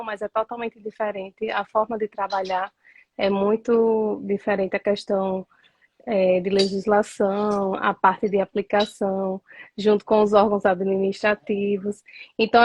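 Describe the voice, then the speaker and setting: A woman from Northeast Brazil, a lawyer guest, explaining earnestly in a live interview with frequent filler pauses. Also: medium pitch, no room sound, highs cut off.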